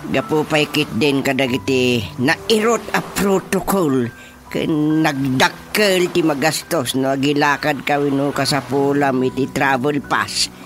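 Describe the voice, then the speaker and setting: A man's voice: medium pitch, lightly acted. An actor with an Ilocano Filipino accent playing a talkative, exasperated village chairman in a radio comedy drama. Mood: matter-of-fact. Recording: loud music bed under voice.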